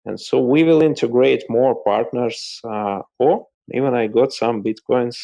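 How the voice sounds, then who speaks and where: A man from Russia with a Russian accent, a company CEO answering interview questions in plain, slightly halting English. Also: mid-range voice, gravelly, mellow. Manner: plain, slightly halting non-native phrasing. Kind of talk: casual interview chat.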